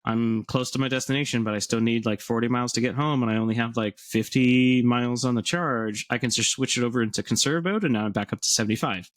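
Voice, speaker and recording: A man's voice: mid-range voice, fast, few pauses. A man co-hosting a podcast, explaining things in casual conversation. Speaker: podcast co-host.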